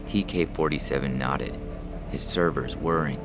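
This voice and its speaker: A man narrates scripted fiction as a story reader. His voice is extremely deep with heavy gravelly fry, and a music bed runs clearly behind it. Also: normal speed.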